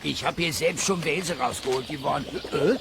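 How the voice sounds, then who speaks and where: An actor playing a fat, jovial, know-it-all angler in a children's radio play, talking bluntly and colloquially. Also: male voice, fairly high pitch, moderate pace, vocal fry, noisy background. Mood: dismissive, sure.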